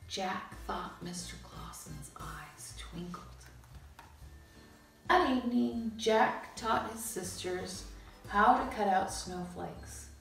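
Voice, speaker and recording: A woman reading a children's story aloud in an expressive way, with dramatic pauses. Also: mid-range voice. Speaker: storybook reader. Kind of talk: animated children's read-aloud narration. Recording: roomy, distant mic, music bed.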